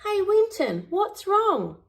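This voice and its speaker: A woman's voice: very high-pitched, wildly swooping pitch, slow pace, gravelly, voice pushed up, exaggerated voice. A woman voicing an eager, bossy young puppy girl from a children's storybook, calling out to friends. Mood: concerned.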